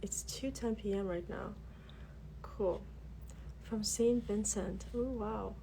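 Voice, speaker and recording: A woman's voice: mid-range voice, fairly even intonation, slow pace, gravelly, warm tone, slight background noise, rough recording. A woman with a cold, sounding congested, hosting a casual craft livestream from her desk, chatty and full of fillers as she greets viewers. Her first language is Albanian. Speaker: craft tutorial host.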